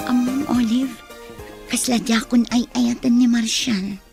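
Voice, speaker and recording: A woman's voice: fairly high pitch, fairly even intonation, a little slow, creaky, music in the background. A woman with an Ilocano Filipino accent playing a pensive, lovelorn young student in a radio drama. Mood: torn, resigned.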